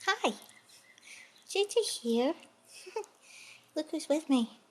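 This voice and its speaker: A woman voicing a cheerful, gentle little lamb puppet who giggles and coaxes in a sing-song way. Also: high-pitched, sing-song, extremely slow, a little hiss or hum, full character voice.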